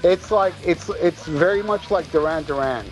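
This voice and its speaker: Co-host, male, high voice, stammering jokester, music faint under. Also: normal speed, low-quality recording. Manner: joking, stammering, repeats words. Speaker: podcast co-host. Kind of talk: casual podcast chat.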